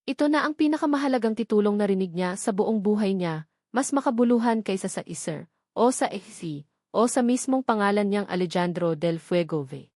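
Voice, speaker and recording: A woman's voice: medium pitch, expressive, a little fast, darkish tone, no room sound, clean studio sound. A woman narrating a scripted dramatic love story.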